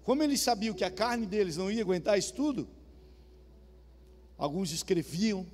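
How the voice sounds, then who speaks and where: High-voiced southern Brazilian pastor. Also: male voice, animated, moderate pace. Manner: conversational, asks the congregation questions. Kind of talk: sermon. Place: church hall. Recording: reverberant church hall with soft music.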